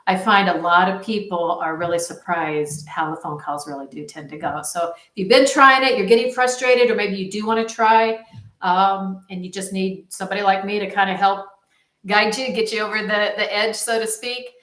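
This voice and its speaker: A woman's voice: medium pitch, normal speed, fairly bright tone. A woman giving a casual, rambling promotional talk as a supportive phone marketing coach, speaking informally. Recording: roomy, echoing sound.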